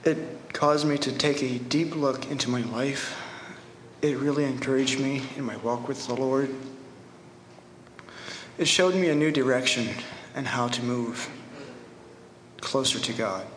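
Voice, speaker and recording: A young man from Ohio giving an earnest, halting testimony at a Bible school and reading a short poem he wrote. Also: medium pitch, a little hiss or hum. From Ohio, USA. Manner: earnest, halting, reads his poem slowly. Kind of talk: spoken testimony and recited poem. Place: church hall. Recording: echoey hall with long reverb.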